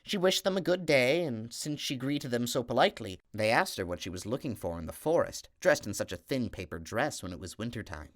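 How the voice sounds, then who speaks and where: A man reading a fairy tale aloud, steady storytelling that slows for emphasis. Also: mid-range voice, dry recording, studio quality.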